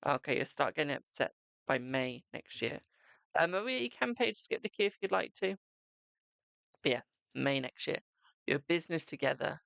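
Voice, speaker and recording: A man's voice: a little high, animated, moderate pace, vocal fry, pauses often, a bit bright, no echo. A man giving a live-stream psychic tarot reading, chatting to viewers and calling them "my darling".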